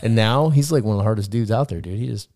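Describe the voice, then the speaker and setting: A man's voice: lowish voice, fast talker, a little mellow, no echo, clean studio sound. A man in his forties chatting casually on a podcast, blunt and joking, with a loose swearing manner. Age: 40s. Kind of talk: casual chat.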